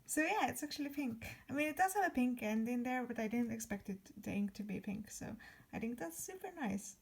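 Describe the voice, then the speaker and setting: A woman's voice: a little high, animated, fairly quick, creaky, breathy edge. A young woman from Estonia, chatting enthusiastically in a casual vlog voice-over. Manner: chatty, enthusiastic, repeats "really". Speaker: vlogger.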